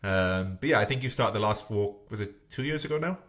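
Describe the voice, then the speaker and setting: Male podcast host, 30s, low voice, thoughtful and hesitant delivery. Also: expressive, a little fast, fairly bright tone. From Wales. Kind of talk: casual podcast interview.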